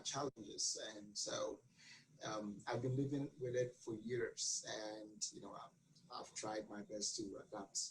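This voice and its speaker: A man's voice: fairly low pitch, a little hiss or hum, rough recording. A man from Ghana, answering interview questions earnestly with many fillers and restarts. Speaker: guest.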